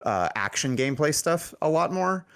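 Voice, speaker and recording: A man's voice: on the high side, sing-song, moderate pace, a bit bright, clear, resonant tone. A man who works as a game developer and writer, chatting casually in a group interview in a rambling, hesitant way. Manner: hesitant, rambling, filler-heavy.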